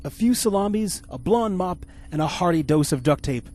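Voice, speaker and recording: An actor playing a vain, bombastic, incompetent spaceship captain in a campy 1950s-style space radio serial. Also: male voice, high voice, lively intonation, normal speed, darkish tone. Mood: pompous, self-important. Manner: pompous, theatrical.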